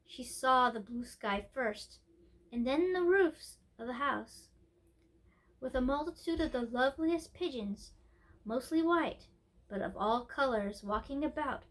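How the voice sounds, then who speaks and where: A woman storyteller narrating a storybook in an expressive reading style. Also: high voice, poor audio.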